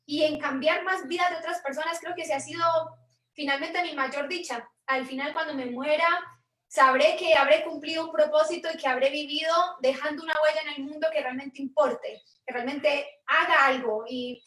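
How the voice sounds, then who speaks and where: A woman's voice: high-pitched, steady tone, normal speed, fairly bright tone, reverberant, recorded from a distance, rough recording. A woman from Medellín with a Colombian Spanish accent, telling her life story as an interview guest in an earnest, thoughtful way with frequent restarts. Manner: earnest, thoughtful, with many restarts and tag questions. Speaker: guest investor.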